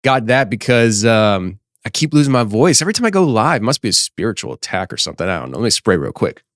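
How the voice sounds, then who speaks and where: A man in his thirties hosting a live podcast stream, chatting casually and rambling with lots of fillers, his voice a bit hoarse from losing it. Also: on the low side, very animated, quick pace, fairly bright tone, no room sound, clean studio sound. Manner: rambling, talkative, many fillers and restarts. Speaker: podcast host. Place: office studio.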